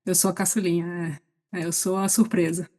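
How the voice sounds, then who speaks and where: A middle-aged woman with a Brazilian accent chatting casually as a guest, halting as she searches for words. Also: on the low side, flat delivery, dark tone. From Salvador, Brazil. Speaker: podcast guest. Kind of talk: casual autobiographical chat.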